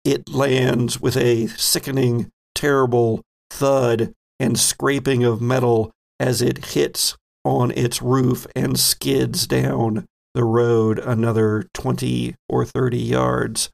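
A man's voice: mid-range voice, flat delivery, slow pace, gravelly, few pauses. A man running a tabletop horror game as game master, narrating dramatically in short, suspenseful phrases.

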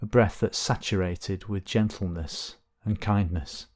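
A man leading a guided body scan meditation, giving gentle, unhurried instructions with long pauses between phrases. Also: sits low, fairly even intonation.

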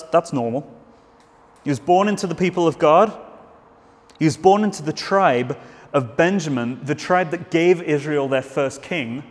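A man's voice: on the high side, big-room sound. A man preaching a sermon in a church, earnest, with frequent restarts and fillers.

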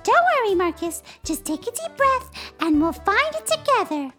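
A reader voicing a cheerful little girl, high and bright. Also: very animated, normal speed, faint music behind, heavily acted. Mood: soothing. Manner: bright, encouraging, squealing highs. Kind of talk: children's storybook voice acting.

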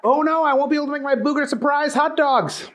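This is a goofy bumbling cook from a storybook, talking in a high, excited, silly voice, as a man puts him on. He is harmless and a bit clueless. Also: fast talker. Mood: worried.

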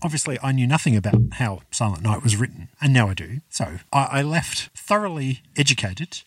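A man's voice: mid-range voice, sing-song, a little fast, fairly bright tone, husky, no echo, recorded from a distance, poor audio. A man co-hosting a podcast, chatting casually about an old film in a dry, wry way and correcting details. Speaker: podcast co-host.

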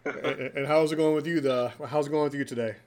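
A man's voice: fairly high pitch, steady tone, fast, a little mellow. Joking forty-something male podcast host. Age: 40s. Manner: joking, chatty, playful ribbing. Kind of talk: casual podcast chat. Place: hotel room.

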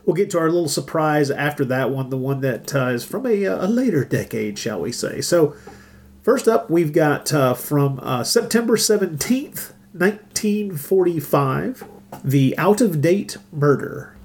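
A man's voice: fairly high pitch, expressive, measured pace, a little mellow. A man hosting a podcast in casual chat, informal and opinionated, speaking with emphasis. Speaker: podcast host.

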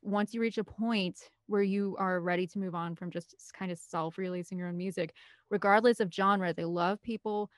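A woman's voice: mid-range voice, fairly even intonation, moderate pace, dry recording, clean studio sound. A woman guest on a podcast, chatting casually in an enthusiastic, rambling way. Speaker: podcast guest, film composer. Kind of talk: casual chat.

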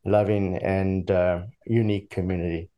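A man's voice: deep voice, flat delivery, moderate pace, warm tone. A man in his sixties with a slightly mixed South African accent, telling his life story as an interview guest in a hesitant, reflective way. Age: 60s.

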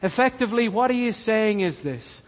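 A man's voice: sits high, expressive, normal speed, in a large hall. A man from Cork with a Cork Irish accent, preaching a sermon earnestly and repeating key lines for emphasis. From Ireland, Cork. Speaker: pastor.

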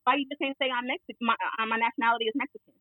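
Fast woman caller on a tinny line. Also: a little high, fairly even intonation, no echo. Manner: quick, assertive arguing. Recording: tinny phone call line.

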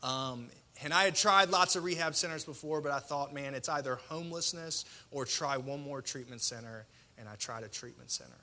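A man preaches to an audience in a sharp, bright voice pitched a little high. He speaks colloquially and pokes fun at himself, leaning on dramatic pauses and emphasis. A slight stutter runs through his speech. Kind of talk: personal testimony sermon to an audience.

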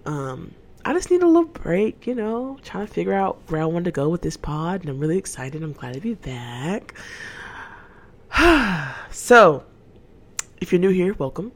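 A woman's voice: fairly low pitch, very animated, a little slow. A nineteen-year-old woman chatting candidly on her own podcast, laughing easily and often trailing off and starting sentences over. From Atlanta, Georgia. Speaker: podcast host. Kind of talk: casual solo podcast chat.

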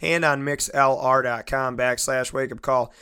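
A male sports radio host speaks with a hoarse, scratchy voice that is still clear and close-miked in a dry studio. His manner is chatty and self-deprecating, and he talks straight to his listeners. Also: mid-range voice, steady tone, normal speed, sharp tone, dry recording. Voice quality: scratchy, raspy. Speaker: sports talk show host. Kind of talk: casual sports radio talk.

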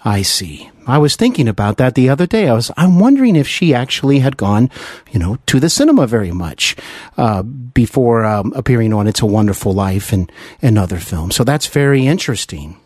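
A man's voice: medium pitch, big pitch swings, brisk, darkish tone, slightly rough, dry recording, clean studio sound. An American man hosting a conversational interview, effusive and somewhat hesitant in his phrasing. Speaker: interview host.

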